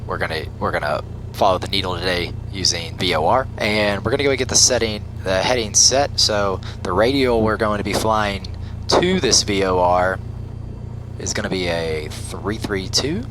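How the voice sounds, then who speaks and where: Low-pitched man running through cockpit checklists. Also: fairly bright tone, a little hiss or hum. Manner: procedural, talks himself through checklists. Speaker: flight simulator pilot and host. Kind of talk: step-by-step instructional commentary with pilot radio calls. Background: simulated aircraft engine and cockpit sound.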